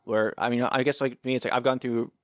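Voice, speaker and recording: A man's voice: mid-range voice, little pitch movement, fast talker, dry recording, clean studio sound. A man co-hosting a podcast in casual chat, blunt and swearing casually. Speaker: podcast co-host.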